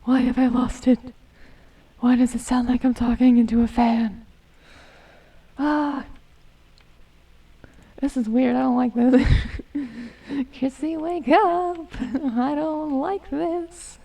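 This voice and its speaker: A woman in her twenties, going hoarse as she chats casually and mutters to herself while streaming a game. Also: high-pitched, steady tone, slow pace, long pauses, mellow, distant mic. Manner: chatty, self-mocking, hums and mutters to herself. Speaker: gaming streamer. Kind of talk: casual gameplay commentary.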